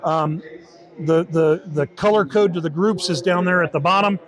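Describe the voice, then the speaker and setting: A man giving an informal interview demo of a software tool, explaining step by step and often rephrasing as he goes. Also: sits high, normal speed. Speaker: software team member demonstrating a beta tool.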